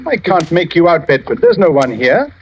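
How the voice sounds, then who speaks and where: An actor playing a cool, courteous titled gentleman host in an old radio drama, polite and composed. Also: on the high side, big pitch swings. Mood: cool, feigned concern. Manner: polite, composed, measured, understated. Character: cool, courteous English knight hosting a dinner. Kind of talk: 1940s radio acting. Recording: noisy, dull old radio recording with clicks.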